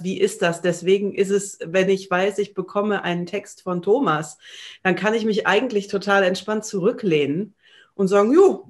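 Woman chatting enthusiastically in an interview. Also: medium pitch. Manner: enthusiastic, playful. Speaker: voice actress, interview guest. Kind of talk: conversational interview. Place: small indoor room at home, video call.

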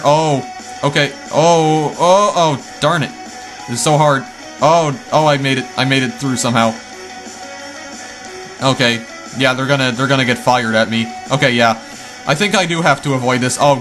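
Male let's-player, slightly hoarse, casual exclamation-heavy commentary, faint music underneath. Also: mid-range voice. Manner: chatty, easily startled, lots of exclamations. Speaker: let's play gamer.

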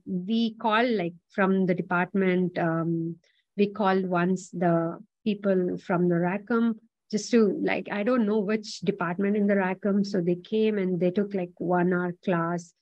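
Lower-voiced woman giving grad school advice, rambly and encouraging, dry flat-sounding audio. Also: no room sound, lo-fi sound. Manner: rambling, heavy filler words, encouraging. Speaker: graduate student panelist. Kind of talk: casual advice on a panel.

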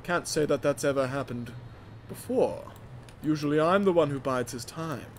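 A man's voice: fairly high pitch, normal speed, a little hiss or hum, close to an everyday voice. Man doing a scheming villain diesel engine. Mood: brooding. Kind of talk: comic game playthrough roleplay.

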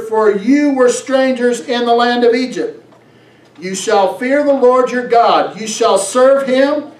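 A middle-aged man, a pastor, preaching emphatically, reading scripture and pressing his points with rhetorical questions. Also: very high-pitched. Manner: emphatic, exhorting, drawn-out phrases with rhetorical questions. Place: small church sanctuary, on stage. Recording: echoey room, distant from mic.

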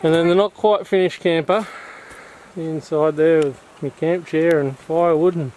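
A man's voice: a little high, steady tone, normal speed, a little mellow. A middle-aged man with an Australian accent, filming a camping trip and joking with his kids in a casual vlog. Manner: laid-back, joking banter with his kids. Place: in a car, then outdoors at a bush campsite. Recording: noisy outdoor camera audio.

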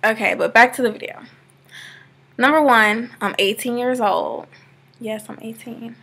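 A bright, fairly high voice from a young woman of eighteen, talking straight into a laptop webcam from her living-room sofa. She rambles in a loose, chatty way and scatters "like" all through her sentences. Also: a little slow, creaky. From Georgia, USA. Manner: chatty and rambling, many "like" fillers. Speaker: vlogger. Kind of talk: casual webcam vlog. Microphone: laptop webcam, close framing.

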